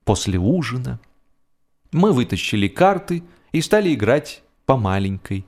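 A man narrating humorous literary prose as an audiobook, expressively and with deliberate, measured pauses. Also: mid-range voice, very animated, a little mellow.

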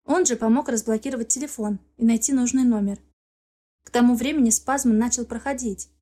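Higher-pitched mellow woman reading an audiobook. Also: a little fast. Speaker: audiobook narrator. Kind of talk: scripted first-person fiction narration.

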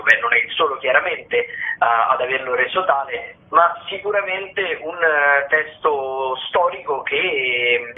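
A man with a slightly raised pitch calls in to a radio show and runs through the week's theatre listings. He talks in a hesitant way, with frequent fillers and restarts. His voice comes through a thin, tinny phone line.

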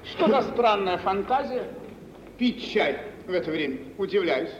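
Напыщенного господина с высоким голосом. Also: male voice, sits high, lively intonation, measured pace. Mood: haughty. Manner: haughty, affected. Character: pompous, touchy, self-important minor official. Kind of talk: 1940s-50s radio theatre acting. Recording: old radio recording, echoing hall, muffled, hissy.

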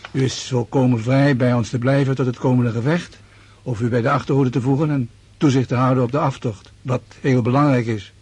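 An actor playing a courteous, genial senior army commander with a husky voice in a radio drama. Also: male voice, mid-range voice, a little mellow. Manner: polite, obliging.

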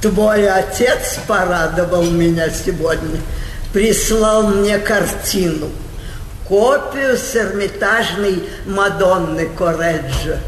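A woman's voice: fairly low pitch, slow pace, a little hiss or hum. An older woman playing a haughty, capricious old princess on stage, scolding and commanding in a classical theatrical manner. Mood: gracious. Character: imperious old aristocratic princess, capricious, doting on her pet. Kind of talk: classical Russian stage acting. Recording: echoey theatre hall recording, faint music.

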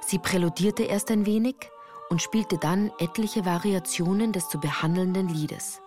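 Low-pitched woman narrating a radio play. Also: normal speed, a little mellow, soft background music. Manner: composed, articulate storytelling. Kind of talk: scripted first-person literary narration.

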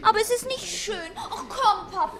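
Prim, high-voiced girl, music behind. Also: lively intonation, normal speed. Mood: fastidious. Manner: prim, know-it-all, embarrassed by mother. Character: precocious, prim teenage daughter, nearly grown up. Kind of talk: radio play acting.

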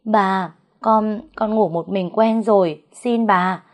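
A woman's voice: medium pitch, normal speed, a little mellow, natural voice. A woman voicing a scheming young pregnant woman who whines, stammers and pleads tearfully, in a story narration. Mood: pleading. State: crying.

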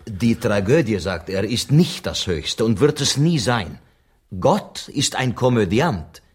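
An actor in a radio play voicing a sober, apologetic interpreter who relays others' words in a measured, deliberate way. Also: male voice, medium pitch, sing-song, breathy edge, full character voice. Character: sober, apologetic male interpreter between two quarrelling writers.

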